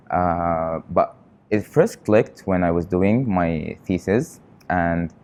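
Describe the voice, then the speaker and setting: A young man from Qatar, a research trainee, answering interview questions in an earnest, halting way with frequent pauses. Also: low-pitched, mellow. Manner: earnest, halting, often pausing on fillers.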